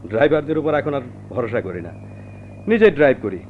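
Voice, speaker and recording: An actor playing a jovial, easygoing young forest officer in a radio drama, with a breezy, expressive delivery. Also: male voice, mid-range voice, brisk, close to an everyday voice. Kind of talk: Bengali radio drama acting. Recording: muffled old radio drama recording, background noise.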